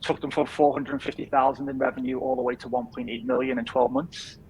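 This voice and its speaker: A man in his thirties from England with a softened Liverpool accent, chatting easily as a podcast guest with lots of fillers. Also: medium pitch, little pitch movement, brisk, husky, poor audio. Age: 30s. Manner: easygoing, rambling with many fillers. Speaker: podcast guest, business founder. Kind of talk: casual interview chat.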